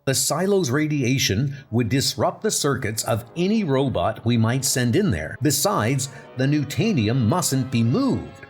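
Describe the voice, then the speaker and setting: A man's voice: medium pitch, sing-song, measured pace, few pauses, husky, soft background music. A man voicing an alarmed official of a futuristic city in a comic dub, explaining things urgently.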